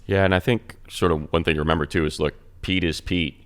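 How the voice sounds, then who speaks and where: A man's voice: low-pitched, steady tone, fast, a bit bright. A man who is a radio play-by-play announcer, chatting on a sports talk show, breaking down plays in long rambling runs with many restarts.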